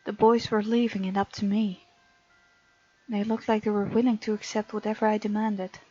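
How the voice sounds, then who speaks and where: A woman's voice: mid-range voice, level tone, moderate pace, warm tone, highs cut off. A woman hosting a visual novel let's play, reading the game text aloud expressively and adding chatty reactions. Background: game music.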